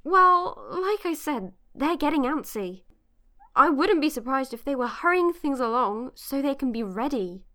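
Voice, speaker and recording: A reassuring woman informant talks in an audio drama scene. Her voice is on the higher side and her manner is matter-of-fact. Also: sing-song, measured pace, pushed and bright, heavily acted.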